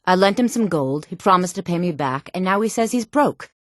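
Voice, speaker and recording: Woman acting a low, sultry guild racketeer. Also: lively intonation, a little fast, no room sound, studio quality, natural voice. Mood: cocky, dismissive. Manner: streetwise, casual, cocky. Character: tough, brash female thieves guild racketeer, sly and dismissive. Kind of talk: fantasy video game voice acting.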